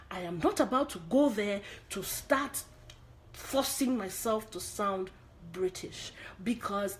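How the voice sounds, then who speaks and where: Emphatic Nigerian woman teaching acting. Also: on the high side. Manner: emphatic, repeats key points, asks rhetorical questions. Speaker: acting coach. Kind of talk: online acting lesson to viewers.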